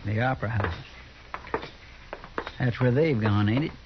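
An actor playing a loyal, anxious deputy with a folksy drawl in a 1950s radio drama. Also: male voice, medium pitch, measured pace, lightly acted. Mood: fretful. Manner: folksy drawl, eager and fretful. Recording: dull hissy 1950s radio broadcast.

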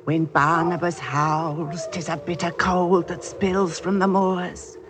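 An ominous old crone in an old radio play. She speaks low and very slowly, with portentous archaic country phrasing, over a faintly hissy recording. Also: level tone. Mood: ominous, knowing. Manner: portentous, archaic rustic speech. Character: ominous, witchy old village wise woman. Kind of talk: classic radio drama acting.

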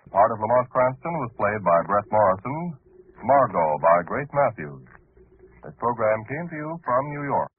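A man's voice: on the low side, expressive, moderate pace, slightly rough. A man with an American accent reading scripted announcements as a 1940s radio announcer. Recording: slightly noisy phone-like old radio recording.

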